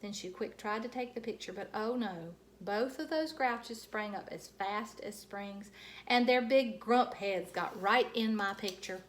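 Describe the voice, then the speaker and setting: A woman reading a children's book aloud in an expressive, animated storytelling style with dramatic emphasis. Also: mid-range voice, unhurried, slight background noise.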